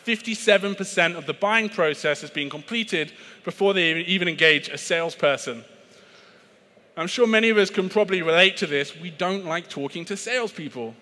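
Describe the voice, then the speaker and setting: A man's voice: sits high, moderate pace, bright, forceful tone. A British man giving a conference keynote on sales in a chatty, self-deprecating way, with frequent fillers and restarts. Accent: British English. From Britain. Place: large conference hall. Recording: reverberant conference hall.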